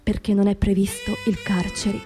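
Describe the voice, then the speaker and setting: A woman giving scripted theatrical narration as a stage narrator, solemn and measured, with deliberate phrasing. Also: mid-range voice, little pitch movement, mellow, faint music behind.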